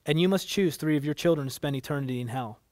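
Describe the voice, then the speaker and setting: A man voicing a wise divine woman judge in a story, measured, solemn, firm and tender. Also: fairly high pitch, a little mellow, no room sound, studio quality, close to an everyday voice. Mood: calm, stern.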